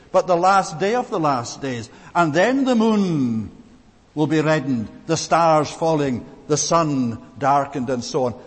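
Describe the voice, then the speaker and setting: A man from Scotland with a Scottish accent, preaching an earnest expository sermon and repeating words for emphasis. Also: fairly high pitch. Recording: long reverb of a large hall.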